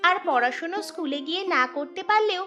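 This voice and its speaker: A woman's voice: very high-pitched, lively intonation, quick pace. A woman voicing a sweet, patient young village girl in a cartoon story. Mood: calm, reassuring. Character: dutiful young village girl caring for widowed father. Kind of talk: Bengali cartoon story dialogue. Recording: clear music bed behind voice.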